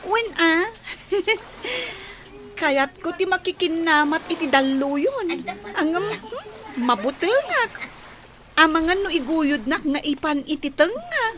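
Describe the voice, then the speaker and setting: A woman's voice: very high voice, very animated, takes it slowly, long pauses, full character voice. A woman playing a playful, timid, childlike young housemaid in a radio drama. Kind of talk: Ilocano radio drama acting.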